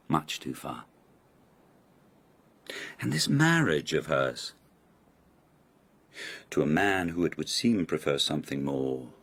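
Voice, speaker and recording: An actor playing an arrogant, sneering court nobleman in a radio drama, condescending and husky. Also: very deep voice, a bit bright, full character voice. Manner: haughty, condescending, drawling innuendo.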